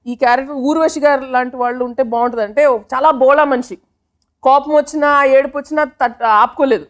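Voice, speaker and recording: A woman's voice: high voice, fairly even intonation, brisk. A woman talking casually in an interview, slipping English phrases into her speech. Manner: code-switches into English phrases. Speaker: film team member, interviewee. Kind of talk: casual interview talk about a film.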